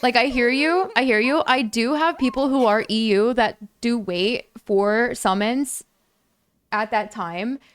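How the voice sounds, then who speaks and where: A young woman livestreaming a game, talking freely to her chat with a fairly high, smooth voice. She jumps into excited, squealing thanks whenever viewers give, and her voice sounds a little worn from hours of talking. The sound is close and dry, with no room around it. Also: unhurried, no room sound. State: voice a bit lower and worn from long talking. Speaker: gaming livestreamer.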